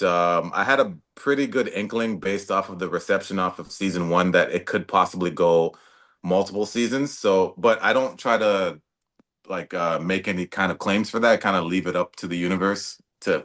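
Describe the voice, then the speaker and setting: A young man from Toronto, an actor guest talking in a relaxed, casual way with many fillers and restarts. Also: sits low. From Toronto, Canada. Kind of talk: casual interview talk.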